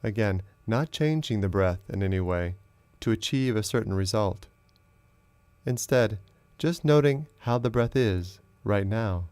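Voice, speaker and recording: A man narrating a guided meditation as a teacher, in a slow, measured, instructional way with long pauses. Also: fairly low pitch, lively intonation, darkish tone, clear, resonant tone, dry recording.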